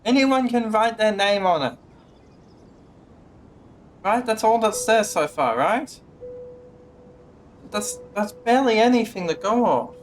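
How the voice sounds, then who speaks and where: A woman's voice: mid-range voice, animated, takes it slowly, pauses often, slight background noise. A woman game streamer giving casual live commentary in a blunt, skeptical, sweary way. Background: game music.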